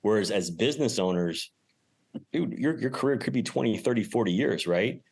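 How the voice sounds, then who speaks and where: A man's voice: on the low side, lively intonation, moderate pace, gravelly, darkish tone, breathy edge. A man speaking as an interview guest in casual, emphatic, informal talk about his own experience. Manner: emphatic, informal, mild swearing. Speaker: podcast guest, real estate investor and business owner.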